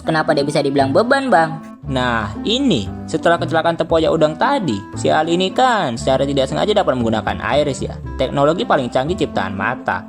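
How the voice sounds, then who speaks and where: A young Indonesian man doing jokey, slang-heavy video commentary. His voice sits a little high and moves around a lot, with music behind it. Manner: slangy, joking, swears freely. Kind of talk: casual comedic commentary on cartoons.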